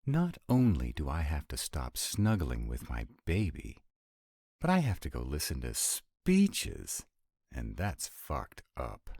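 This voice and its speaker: Man acting a very deep, sardonic vampire lover. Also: wildly swooping pitch, slow pace, a little mellow, husky, dry recording, clean studio sound, full character voice. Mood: mock grumpy. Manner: sardonic, exasperated, intimate, swooping emphasis. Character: sarcastic, grumbling, affectionate male vampire councilman and lover. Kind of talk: romantic roleplay audio acting.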